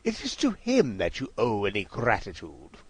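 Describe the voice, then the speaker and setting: Theatrical Victorian detective, wildly swooping. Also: male voice, lowish voice, wildly swooping pitch, normal speed, breathy edge, dry recording, exaggerated voice. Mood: jovial, teasing. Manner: drawling, grandly formal, swooping delivery. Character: theatrical, cheerfully condescending male Victorian detective. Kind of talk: audio drama acting.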